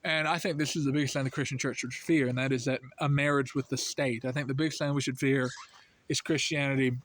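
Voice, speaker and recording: A man's voice: mid-range voice, steady tone, fast, fairly bright tone. Earnest American man rambling about faith. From United States. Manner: earnest, rambling, heavy with "you know" and "I mean" fillers. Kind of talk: casual religious commentary.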